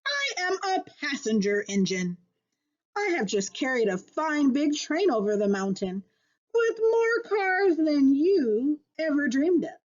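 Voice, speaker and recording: A woman's voice: high voice, sing-song, dull audio, voice pushed up. Woman voicing a haughty, drawling passenger engine. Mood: haughty, scornful. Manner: snorting, boastful, drawn-out scornful delivery. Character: haughty, snobbish male passenger train engine.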